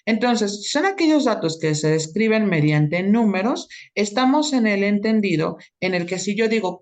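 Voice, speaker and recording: A woman teacher, giving an online lesson. She explains each idea step by step and keeps checking in with short tag questions. Her voice sits at an ordinary middle pitch. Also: a little mellow. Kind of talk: online class lecture.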